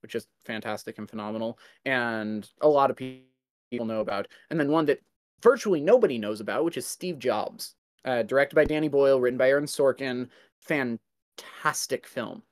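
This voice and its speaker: A man in a casual podcast discussion, talking in a halting way as he works out his thoughts. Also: mid-range voice, no room sound, clean studio sound.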